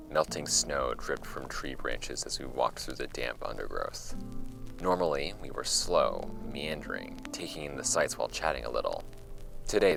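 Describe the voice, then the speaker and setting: An actor playing a wry archive host, reading old case files aloud in a measured, conversational way for a scripted audio drama. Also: male voice, a bass voice, gravelly, exaggerated voice. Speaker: host of a fictional case-file podcast. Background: music under the voice.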